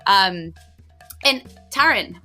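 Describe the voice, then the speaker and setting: A woman, improvising narration as game master of a tabletop game podcast in a chatty, excitable, emphatic way. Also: medium pitch, extremely slow, soft background music. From New Zealand.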